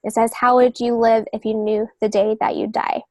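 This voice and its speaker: A woman's voice: a little high, flat delivery, normal speed, mellow, no room sound. A woman speaking as a guest on a writing podcast, giving conversational advice in an earnest, explanatory way. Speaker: guest novelist and literary agent.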